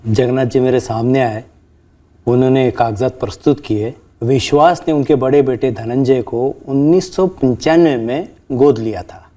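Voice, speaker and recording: A man's voice: mid-range voice, moderate pace, dark tone, lightly acted. An actor playing an older retired registrar in a television crime drama, polite and earnest as he explains himself, with background music. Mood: earnest recollection. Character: respectful, earnest retired male registrar, defensive and trusting. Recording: background music under dialogue.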